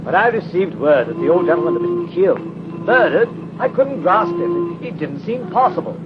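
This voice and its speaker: An actor playing an agitated, genteel gentleman in a 1930s radio drama, halting and exclamatory in his speech. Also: high-pitched, very animated. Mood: distressed. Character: agitated, genteel British gentleman, bereaved nephew. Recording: muffled, very noisy 1930s radio recording.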